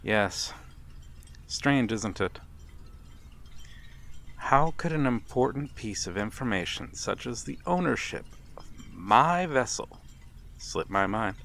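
A man playing a wistful, courteous vampire in a gentle, formal ASMR roleplay. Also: medium pitch, expressive, gravelly, heavily acted. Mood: melancholy, reflective. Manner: gentle, formal, halting with soft chuckles and sighs. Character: wistful, courteous old-world male vampire stranger by a harbour. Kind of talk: ASMR audio roleplay, slow intimate monologue.

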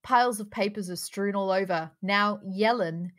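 A woman narrates a screenplay in a live read-through on a group video call. Her voice sits at an average pitch as she sets each scene and describes the action. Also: normal speed, fairly bright tone. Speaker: narrator reading screenplay directions. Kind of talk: live table-read narration of stage directions.

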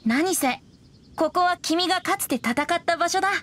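A woman playing a youthful male wandering bard in a game, breezy and gently teasing. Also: extremely high pitch, moderate pace. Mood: playful. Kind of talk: Japanese game character acting.

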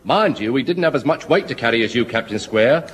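A man's voice: medium pitch, lively intonation, fairly quick, fairly bright tone, lightly acted. An actor playing a loyal, earnest old Home Guard private who protests on his captain's behalf. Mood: protesting. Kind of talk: 1970s radio sitcom acting. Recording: studio audience laughing.

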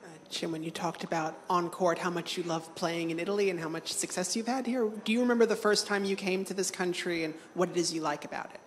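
A woman's voice: fairly low pitch, fast, big-room sound. A woman reporter asking a player direct questions. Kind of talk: press conference question. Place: press room.

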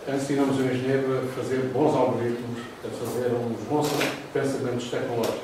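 Older man, European Portuguese accent, slide lecture, very echoey classroom, distant mic, audible room noise. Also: mid-range voice. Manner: talks through slides, hesitant fillers. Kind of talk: formal lecture presentation. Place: classroom with projector. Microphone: far room camera. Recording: roomy echo from far off.

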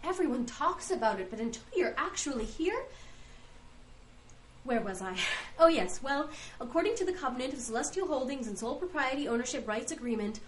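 An actress plays a scatterbrained female envoy from an afterlife office in a fantasy audio comedy. Her voice is fairly high, with a chatty, apologetic manner. Faint noise runs underneath. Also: close to an everyday voice. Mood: businesslike, flustered. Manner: babbling, apologetic, easily sidetracked. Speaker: voice actor. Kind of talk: comic fantasy audio drama acting.

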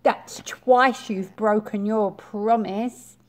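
A woman's voice: medium pitch, steady tone, takes it slowly, close to an everyday voice, rough recording. A woman voicing a romantic, easily hurt female caterpillar in a storybook, with emphatic delivery. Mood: reproachful. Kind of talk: children's storybook read-aloud.

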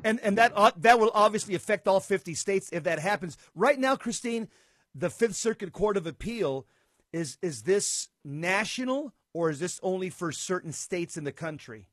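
High-pitched older male radio co-host. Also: expressive, moderate pace, fairly bright tone, dry recording. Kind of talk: interviewing on talk radio.

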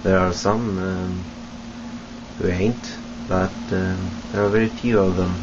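A young man from Sweden with a Swedish accent, introducing himself hesitantly to his webcam in a small room at home, with many pauses and restarts. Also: deep voice, little pitch movement. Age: young adult. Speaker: vlogger. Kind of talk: casual self-introduction to webcam. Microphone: webcam at close range. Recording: dull lo-fi webcam audio with hiss.

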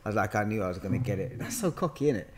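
A man in his thirties with a London accent, chatting and telling stories in an animated, bantering way full of slang. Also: fairly low pitch, very fast, background noise. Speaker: podcast guest, actor. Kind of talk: casual conversational storytelling. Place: bright living room, on a sofa. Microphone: podcast mic on a boom arm.

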